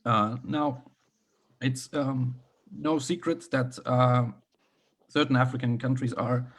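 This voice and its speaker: A man's voice: mid-range voice, fairly even intonation, slow. A man co-hosting an online panel, sick and losing his voice, speaking with hesitant fillers and wry self-deprecation. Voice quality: husky. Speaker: event co-host. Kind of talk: opening remarks at an online panel. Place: video call.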